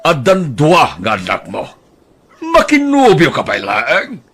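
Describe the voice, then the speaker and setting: A man's voice: a little high, huge pitch swings, slow, a bit bright, breathy edge, heavily acted. A man voicing a stern older father who scolds in an exasperated way. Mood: scolding. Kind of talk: Ilocano audio drama acting.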